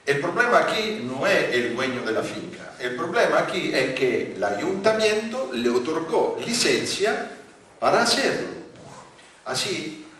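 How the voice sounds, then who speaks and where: A man from Italy with a light Italian accent, giving a public lecture with slides, talking haltingly with many fillers and restarts. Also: on the high side, big pitch swings, a bit bright. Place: large conference hall. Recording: very echoey hall, voice from far off.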